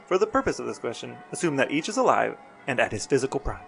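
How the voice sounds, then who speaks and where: A man co-hosting a casual podcast, talking in a deadpan way. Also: mid-range voice, fast talker, gravelly, faint music behind. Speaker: podcast co-host. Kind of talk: casual podcast talk with read-out questions.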